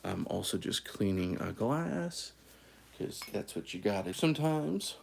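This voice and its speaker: A man's voice: on the low side, big pitch swings, a little slow, creaky, slight background noise. A man from California hosting a casual coffee live stream, easygoing and folksy, with many fillers and restarts. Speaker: coffee channel host.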